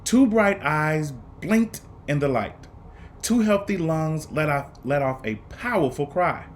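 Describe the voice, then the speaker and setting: A man reading a children's picture book aloud, phrasing it dramatically and deliberately with emphatic pauses. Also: on the high side, animated, slight background noise. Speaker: storybook reader.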